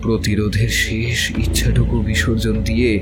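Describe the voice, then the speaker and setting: A man playing a young, haunted and infatuated traveler, narrating a horror audio story in a theatrical, literary manner with dramatic pauses. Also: on the low side, flat delivery, lightly acted. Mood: entranced, sensual. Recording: voice over loud background music. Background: prominent music bed.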